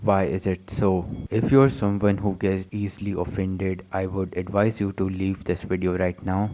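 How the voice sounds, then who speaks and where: A man's voice: sits low, level tone, moderate pace, mellow, a little hiss or hum. A man with an Indian accent giving blunt, matter-of-fact voice-over commentary. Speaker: video commentator.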